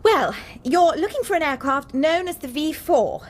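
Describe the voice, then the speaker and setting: High-voiced, hesitant briefer. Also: female voice, lively intonation. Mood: nervous, polite. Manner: halting, polite. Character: hesitant, earnest female wartime technical briefer. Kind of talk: radio drama acting.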